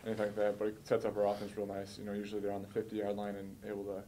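A man in his early twenties, a college football player giving measured, matter-of-fact answers at a post-game press conference. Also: lowish voice, completely flat delivery, mellow, slight background noise. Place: indoor press room, seated at a table.

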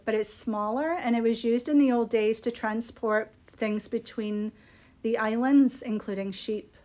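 A middle-aged woman with a slightly high voice hosts a casual live product showcase. She talks in a chatty, unscripted, appreciative way, speaking into a phone in a small room. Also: a little mellow. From Scotland. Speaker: yarn shop host presenting products. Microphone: phone at arm's length.

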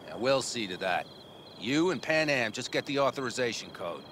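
An actor playing an informal, ribbing veteran of a nomad crew in a video game. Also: male voice, mid-range voice, big pitch swings, moderate pace, noisy background, natural voice. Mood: matter-of-fact. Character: teasing veteran nomad man, loyal crew member. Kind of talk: video game cutscene acting.

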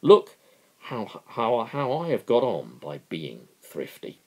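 A man's voice: mid-range voice, huge pitch swings, slow, darkish tone, voice pushed up, heavily acted, rough recording. Man putting on a smug, patronising capitalist boss. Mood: affably smug. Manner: patronising, dismissive. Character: smug, affable male capitalist boss, falsely kind.

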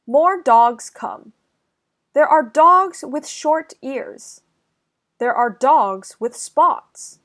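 A young woman reading a children's story aloud, enunciating carefully and deliberately for young listeners. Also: high-pitched, animated, a bit bright. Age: young adult. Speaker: children's channel host and story reader. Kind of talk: slow, clear children's read-aloud.

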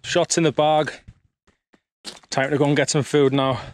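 A man's voice: fairly high pitch, level tone, moderate pace. A man, chatting casually as he explains his work, recorded outdoors on a lapel mic. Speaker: landscape photographer. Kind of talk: casual on-location vlog. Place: outdoors on open limestone moorland.

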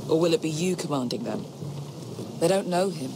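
Wary sellsword, fantasy game male actor: blunt, lightish male pitch, noisy shipboard ambience. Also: animated, normal speed, close to an everyday voice. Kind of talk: dramatic video game acting.

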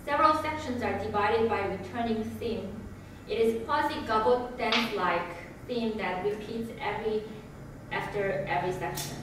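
A woman reads a music lecture in a measured, careful way, pausing now and then over her notes. She is picked up from a distance in a big echoing recital hall, with steady room noise underneath. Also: medium pitch. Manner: measured, reading from notes with occasional hesitations. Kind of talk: read lecture presentation. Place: recital hall with grand piano and projection screen. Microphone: far room camera. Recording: echoey hall from far off, audible room noise.

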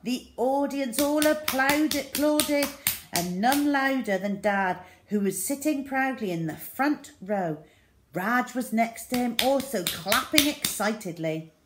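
A woman's voice: fairly high pitch, lively intonation, slow pace, noisy background. A middle-aged woman reading a children's story aloud expressively. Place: small room indoors at home.

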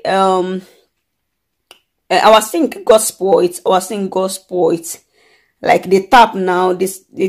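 A woman in her thirties talks straight into a phone held close, casual and chatty. She rambles, circles back and repeats herself. Her voice sits at an ordinary middle pitch. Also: a little mellow. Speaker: vlogger. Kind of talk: casual chat to camera. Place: dim indoor room at home. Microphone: phone camera held close.

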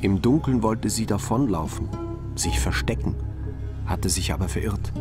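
A low male voice from an actor gives us a dogged, troubled detective in a crime radio play. He speaks over faint music. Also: close to an everyday voice. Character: dogged, troubled male police investigator named Tobias. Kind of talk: German crime radio play, dialogue and narration.

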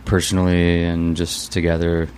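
Man, band singer, hoarse scratchy voice, very deep, flat delivery, faint room noise. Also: moderate pace. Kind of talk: casual interview answers.

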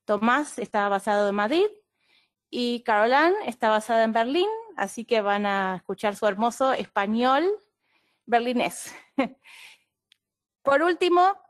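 A woman's voice: fairly high pitch, measured pace, pauses often. A woman with an Argentine Spanish accent, hosting and presenting an online training webinar in a friendly, explanatory way. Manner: friendly, explanatory, with occasional fillers. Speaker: webinar host and clinical associate.

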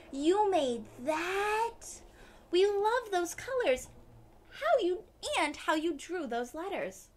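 A woman acts out an eager storybook schoolkid. The voice is loud and pitched well up, exclaiming with wide-eyed admiration. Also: exaggerated voice. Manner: high, exclaiming.

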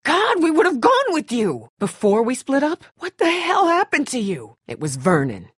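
A woman playing a tough, blunt, protective young survivor in a video game, with a husky voice and a terse, urgent, sardonic delivery. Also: high-pitched, huge pitch swings, moderate pace, no room sound, clean studio sound. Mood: urgent, frantic. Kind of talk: dramatic game dialogue.

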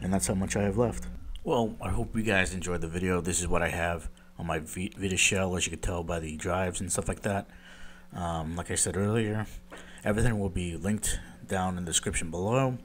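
A man with a deep, just-woken-up voice walking through a tech tutorial in a rambling, self-deprecating way, with lots of ums. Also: fairly even intonation, fairly quick, slight background noise. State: just woke up, deep morning voice. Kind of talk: casual screen-recorded tutorial voice-over.

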